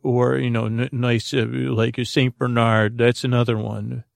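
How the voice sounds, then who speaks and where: A man hosting a sleep podcast, rambling in a halting, meandering, goofy way with many tangents and false starts. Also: mid-range voice, little pitch movement, creaky, no room sound, studio quality. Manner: goofy, whimsical. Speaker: podcast host.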